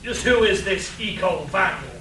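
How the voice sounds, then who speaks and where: A man's voice: sits high, lively intonation, normal speed. A man playing a pompous superhero in an echoey hall. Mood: grave. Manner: pompous, declamatory. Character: pompous, over-earnest eco-crusading male superhero. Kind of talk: comic superhero radio play performed live. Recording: echoey hall, distant mic, audience noise.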